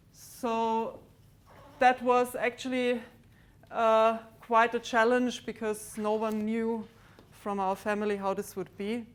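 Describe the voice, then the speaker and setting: A woman giving a maths lecture to students in a large lecture theatre, pausing often with ums and restarts. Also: on the high side. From Austria. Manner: hesitant, many ums and restarts, walks about with a pointer. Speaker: mathematics lecturer.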